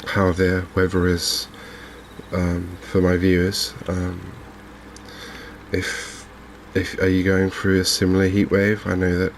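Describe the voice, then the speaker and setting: A man with an English accent giving soft-spoken, hesitant, unhurried gameplay commentary in an ASMR style. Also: low voice. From England. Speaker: gaming video host. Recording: clear steady background noise.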